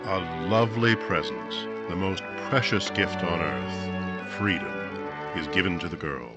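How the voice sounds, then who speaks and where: A man's voice: low voice, lively intonation, loud music behind the voice. A man narrating dramatically in the style of a 1950s radio drama. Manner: dramatic, measured second-person storytelling. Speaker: narrator and announcer.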